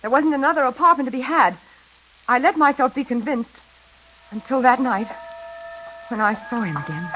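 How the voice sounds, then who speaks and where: Haunted, frightened woman, intensely animated. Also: high-pitched, slight background noise, rough recording. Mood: desperate. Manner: breathless, halting, dramatic. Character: frightened, haunted single woman, nervous and desperate. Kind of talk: 1940s radio suspense acting. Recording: old radio recording.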